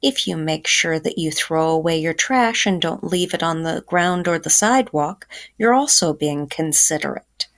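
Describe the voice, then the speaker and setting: A woman's voice: low-pitched, lively intonation, moderate pace, hardly any pauses, fairly bright tone. A woman teacher giving children a reading lesson, explaining simply and instructively.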